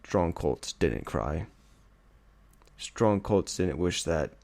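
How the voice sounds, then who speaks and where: A male audiobook reader with a low-pitched voice. He delivers scripted fiction as dramatic narration. The recording is dry, with hardly any room sound. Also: expressive, drawn-out words, vocal fry, a little mellow, no echo.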